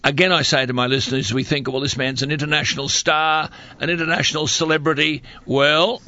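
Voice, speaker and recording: A man's voice: on the high side, flat delivery, brisk, pushed and bright, dry recording, dull audio. A man hosting a radio interview, effusive and enthusiastic. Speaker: radio host.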